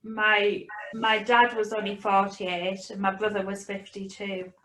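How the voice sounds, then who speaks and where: A woman's voice: mid-range voice, little pitch movement, unhurried, a bit bright. A woman, a mother being interviewed, talking in a casual, understated way in an echoey room. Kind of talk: casual conversational recollection.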